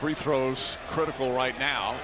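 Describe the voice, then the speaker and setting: A man's voice: medium pitch, fairly even intonation, unhurried. A man calling live basketball play-by-play in short, clipped descriptive phrases. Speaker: play-by-play sports commentator. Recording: loud noisy arena ambience behind.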